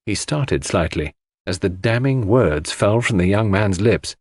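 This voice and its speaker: A man's voice: fairly low pitch, expressive, measured pace, no room sound. A man reading scripted narration of a classic mystery as an audiobook narrator.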